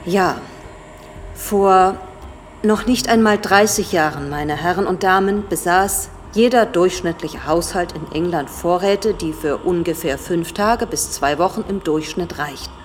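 An actress playing an authoritative female professor, lecturing gravely and formally in a German radio drama. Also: on the low side, unhurried, a little hiss or hum, natural voice. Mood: urgent, lecturing.

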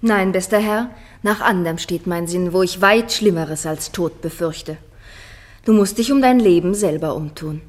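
An actress playing a gentle, humble yet resolute young page boy in a German verse radio play, with formal stage diction. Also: on the low side, normal speed, natural voice. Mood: earnest, guarded.

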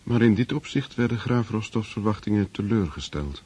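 A man with a husky voice giving measured, scripted narration for a literary radio drama. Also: fairly low pitch, expressive, warm tone.

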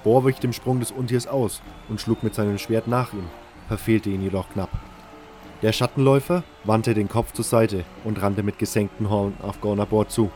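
A man's voice: on the low side, normal speed, darkish tone. A man reading scripted first-person fantasy narration as the narrator of an audio drama. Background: music.

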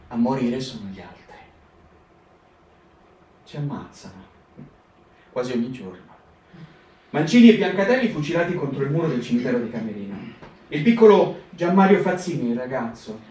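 A man performing a dramatic staged reading for a radio broadcast, with slow, broken phrasing and long pauses. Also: mid-range voice, a little mellow. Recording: roomy radio broadcast with guitar music, from far off.

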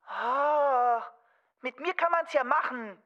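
Small boyish telephone voice, high, dull lo-fi sound, sulky, defiant, absurd radio drama. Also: female voice, takes it slowly. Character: young boyish runaway telephone voice, dreams of singing.

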